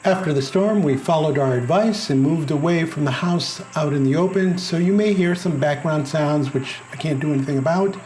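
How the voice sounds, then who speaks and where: A man reviews a small radio in a plain, measured, scripted narration. His voice sits a little high for a man, and he keeps a steady, even delivery. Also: a little hiss or hum, rough recording. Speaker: product reviewer.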